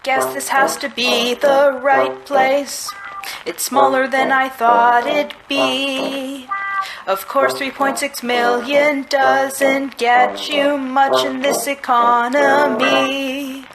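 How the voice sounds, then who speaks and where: A woman playing a wry, down-on-their-luck androgynous private detective in a musical adventure game, musing and deadpan. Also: sits high, slow, low-quality recording. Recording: loud music behind voice. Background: loud music.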